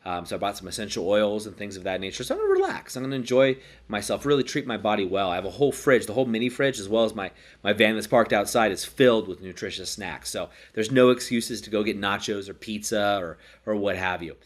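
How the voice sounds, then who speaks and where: Congested man with a low voice. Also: big pitch swings, fast talker, few pauses. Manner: rambling, self-correcting. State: stuffed up from a cold. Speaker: podcast host. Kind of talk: casual daily self-help talk. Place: hotel room.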